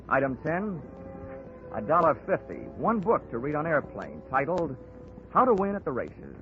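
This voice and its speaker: A man's voice: medium pitch, natural voice. An actor playing a tough, wisecracking insurance investigator who narrates his case in clipped 1940s radio detective style. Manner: wisecracking, hard-boiled, clipped. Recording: muffled 1940s radio recording, music behind.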